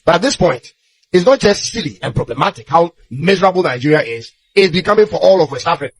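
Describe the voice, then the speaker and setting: Husky, blunt Ghanaian man. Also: a little high, big pitch swings, quick pace, dry recording, poor audio. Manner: blunt, confrontational. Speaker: social media commentator. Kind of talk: opinion commentary to camera.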